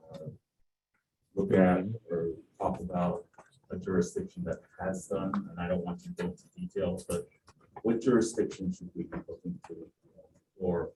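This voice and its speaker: Task force guy asking quick questions, really deep dark voice, far from mic. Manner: brief, direct questions. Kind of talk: questioning at a public meeting. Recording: distant mic, faint noise.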